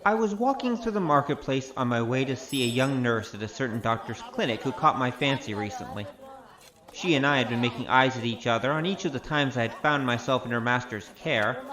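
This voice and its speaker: A man's voice: medium pitch, expressive, brisk, a crowd in the background, natural voice. A male actor playing a roguish, wry storyteller with a grandiose, theatrical manner in a comic audio drama. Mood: wry narrating. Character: roguish, boastful storyteller, wry poor adventurer. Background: music.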